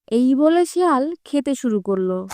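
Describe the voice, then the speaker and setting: A woman's voice: high voice, sing-song, unhurried, darkish tone, a little hiss or hum. A woman narrating a scripted children's fable as a storyteller.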